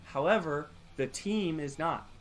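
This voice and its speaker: A man's voice: a little high, measured pace, low-quality recording. A man co-hosting a gaming podcast, chatting in an informal, conversational way. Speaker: podcast co-host. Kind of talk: casual chat about video games. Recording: clear music behind the talk. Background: background music.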